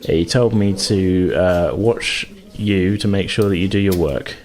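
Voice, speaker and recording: A man's voice: sits low, a little slow, darkish tone. A man playing an evasive, brusque sports teacher in a tabletop game, hesitant and curt. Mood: curt, dismissive.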